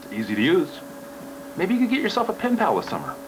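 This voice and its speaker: A man's voice: sits high, wildly swooping pitch, quick pace. A middle-aged man playing an upbeat, coaxing dad in a scripted educational film. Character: upbeat suburban dad coaxing his child. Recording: noisy old 16mm film soundtrack.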